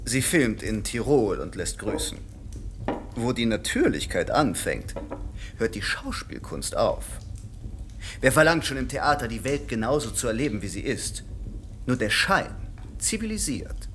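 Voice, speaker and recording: An actor in a radio drama playing a vain, cultivated stage actor and director who speaks in polished, ironic aphorisms. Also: male voice, mid-range voice, very animated, measured pace, fairly bright tone, a little hiss or hum. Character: vain, cultivated man of the theatre, champion of artifice.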